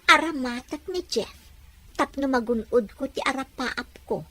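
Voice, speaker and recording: A woman's voice: sits high, unhurried, a bit bright. A woman playing a scheming young woman who dreams of America, in a dialogue drama. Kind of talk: acted Ilocano radio-style drama.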